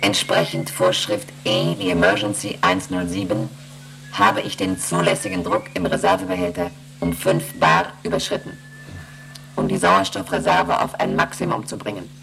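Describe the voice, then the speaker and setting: An actress playing a female ship's computer in a German radio play, reciting emergency readings in a clipped, procedural monotone. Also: extremely low pitch, moderate pace, vocal fry, soft background music, exaggerated voice, poor audio. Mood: impassive, procedural.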